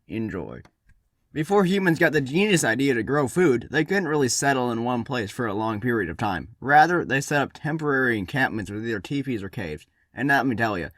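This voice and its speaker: A man's voice: mid-range voice, a bit bright, no echo, clean studio sound. A man hosting a humorous history series, narrating from a script with wry, tongue-in-cheek asides. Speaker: host of a comedic history series.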